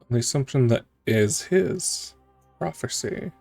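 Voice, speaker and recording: A man's voice: mid-range voice, expressive, unhurried, gravelly, a little mellow. A male streamer giving casual game commentary in musing, questioning asides. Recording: faint game music behind.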